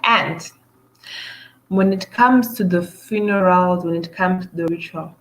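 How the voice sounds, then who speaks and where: A woman from Zimbabwe hosts her own show in a casual monologue. Her voice sits on the low side and she talks slowly. She pauses on "um" and restarts her sentences again and again. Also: rough recording. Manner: hesitant, many "um" fillers and restarts. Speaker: show host.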